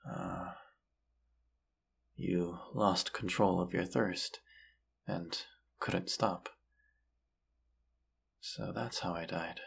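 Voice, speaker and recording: A man playing a gentle, forgiving vampire hunter and lover in an intimate audio roleplay, speaking tenderly with hesitant pauses. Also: sits low, steady tone, vocal fry, husky, full character voice. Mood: subdued, consoling.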